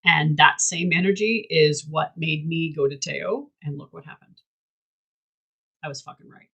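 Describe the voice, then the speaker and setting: A woman's voice: low-pitched, measured pace, creaky, a bit bright, breathy edge. A woman hosting a candid casual chat, talking emphatically and bluntly and swearing freely. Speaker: host.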